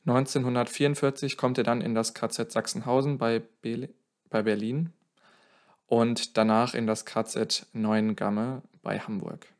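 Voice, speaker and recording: A man's voice: mid-range voice, level tone, a little slow. A man telling a song's history in casual podcast talk, often correcting himself mid-sentence. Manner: explains at length, self-correcting, thinking aloud. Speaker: podcast co-host.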